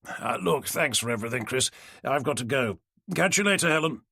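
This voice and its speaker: A man's voice: mid-range voice, animated, fairly quick, pushed and bright, no room sound, studio quality. A man voicing an affable, rotund older male professor with silvery hair. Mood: breezy. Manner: affable, making light.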